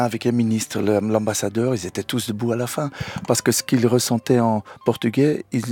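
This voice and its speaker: A man's voice: medium pitch, darkish tone, breathy edge. A singer, speaking French in an interview and explaining his ideas earnestly while stumbling on words. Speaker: guest singer. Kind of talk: radio interview answers.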